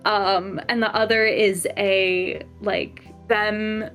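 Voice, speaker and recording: A woman's voice: medium pitch, little pitch movement, slow, a bit bright, soft background music. A woman on a podcast panel chatting casually, hesitant and self-deprecating, peppered with "like". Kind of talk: casual group chat.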